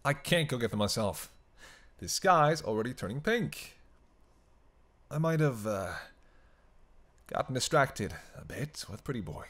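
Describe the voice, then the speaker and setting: A man's voice: medium pitch, lively intonation, a little slow, pauses often, a bit bright, dry recording. A man playing a weary, flirtatious vampire with teasing, sarcastic banter in an intimate roleplay audio drama. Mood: weary, sardonic. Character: sardonic, flirtatious male vampire, weary and hungry. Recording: noisy, ambient sound effects behind voice.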